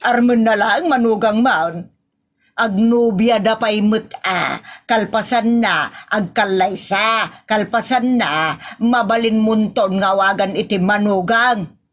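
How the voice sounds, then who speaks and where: A woman playing a teasing, nagging mother and wife in a comedy audio drama, with a chiding, expressive manner. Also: mid-range voice, slow, natural voice. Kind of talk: Ilocano comedy radio-style drama acting.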